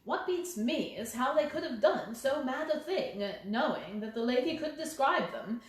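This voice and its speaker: A woman voicing a brisk, talkative, deferential male police inspector in a detective storybook. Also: high-pitched, animated, heavy reverb. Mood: brisk, confident.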